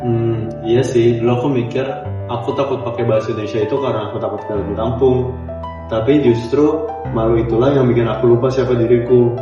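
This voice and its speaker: A young man playing a stubborn young male student who argues in easy, slangy chat with English words mixed in. His voice sits in the middle of the male range and sounds roomy and a little dull. Soft music plays under him. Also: medium pitch, steady tone, fairly quick, close to an everyday voice. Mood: casual. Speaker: student in a class skit. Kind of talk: amateur school drama, casual chat.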